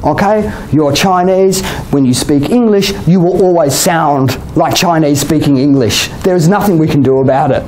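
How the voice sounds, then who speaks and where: A man with an Australian accent giving a relaxed exam-prep lecture to a class, often checking in with "okay?" and "you know?". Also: fairly high pitch, normal speed, few pauses, low-quality recording. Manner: chatty, many "okay?" and "you know?" tags, laughs often. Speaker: IELTS teacher.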